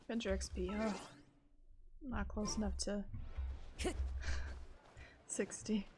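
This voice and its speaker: A woman's voice: medium pitch, flat delivery, slow. A woman casually chatting and reacting while she streams a video game. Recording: game music playing behind voice. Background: video game music and dialogue.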